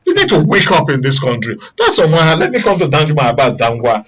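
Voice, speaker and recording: A male talk show host with a Nigerian accent leads a current affairs discussion. His voice sits a little high, and he is animated and quick to exhort. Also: breathy edge.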